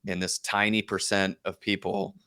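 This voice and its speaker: Guy on a podcast, lowish bright voice, chatty storytelling, pokes fun at himself. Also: level tone, moderate pace, smooth. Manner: self-deprecating, joking. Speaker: podcast guest. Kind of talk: casual conversational storytelling.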